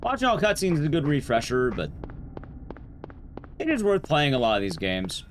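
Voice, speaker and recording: A man's voice: a little high, wildly swooping pitch, moderate pace, a little hiss or hum. A man streaming a game, giving casual, rambling commentary to his chat with playful asides. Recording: loud music under the voice. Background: game and stream music.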